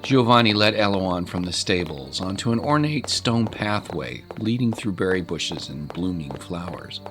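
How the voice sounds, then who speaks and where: A male narrator with a lowish voice and highly animated intonation tells a dramatic story. His delivery is measured and literary, with clearly audible music behind him.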